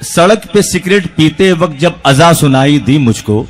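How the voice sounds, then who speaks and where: A man's voice: fairly high pitch, lively intonation, moderate pace. A man reciting poems in rhythmic verse at a poetry evening, speaking into a stage microphone. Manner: rhythmic declamation with refrains. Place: large outdoor stage with a crowd.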